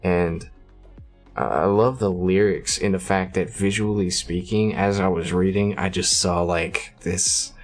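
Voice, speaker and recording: Deep-voiced man reacting casually to music. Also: fairly even intonation, a little slow. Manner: informal, slangy, thinking aloud. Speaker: music reaction video host. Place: small indoor room.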